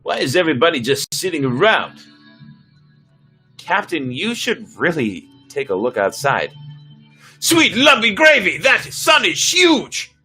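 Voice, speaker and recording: A man's voice: on the high side, huge pitch swings, a little slow, bright, forceful tone, faint music behind, pitched above the performer's own voice, exaggerated voice. A man performing bold, stubborn starship crew in a sci-fi dramatic reading, emphatic and melodramatic. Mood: excited, brash.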